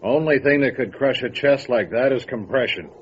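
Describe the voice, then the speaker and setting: Man playing a gruff mountain recluse, lo-fi. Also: medium pitch, normal speed, lightly acted. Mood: guarded. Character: gruff, stubborn, guarded male mountain recluse who resents lawmen. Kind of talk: old-time radio drama acting. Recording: dull lo-fi radio drama recording, faint noise.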